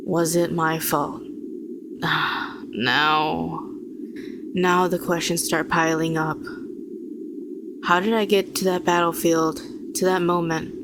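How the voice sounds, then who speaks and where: Woman acting bitter, low-voiced telepath villainess. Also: noisy background. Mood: bitter, reflective. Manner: deliberate, sardonic, rhetorical questioning, condescending endearments. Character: weary, bitter female telepath villain, fallen hero. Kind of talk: dramatic audio roleplay addressed to the listener.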